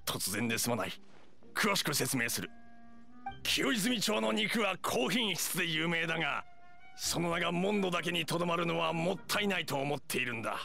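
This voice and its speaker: An actor playing a stern, direct hunter chief in an anime game, blunt and firm. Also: male voice, a little high, expressive, normal speed, vocal fry, full character voice. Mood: earnest persuasion. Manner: blunt, firm, matter-of-fact. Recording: studio voice over soft music.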